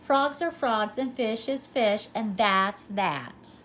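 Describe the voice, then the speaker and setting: Woman as a proud, high-pitched young frog. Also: slow pace, a bit bright, slight background noise. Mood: stubborn. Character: proud young frog, worldly, boastful storyteller.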